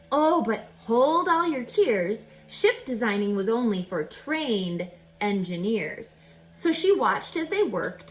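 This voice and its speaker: Animated, higher-voiced woman reading a storybook. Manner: theatrical, deliberate storytelling with emphatic phrasing. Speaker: reading teacher reading a picture book aloud. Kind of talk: expressive children's read-aloud of rhyming verse.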